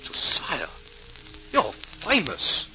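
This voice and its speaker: An actor playing a hearty, practical, admiring brother with emphatic delivery in an old-time radio drama, on a noisy vintage recording. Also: male voice, on the high side, wildly swooping pitch, drawn-out words, gravelly, pushed and bright, faint music behind, full character voice. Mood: proud, impressed.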